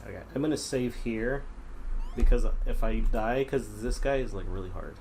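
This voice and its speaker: A man's voice: mid-range voice, moderate pace, background noise. A man from Minnesota playing a retro game, laid-back and muttering at it as he goes. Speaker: game player. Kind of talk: let's play commentary.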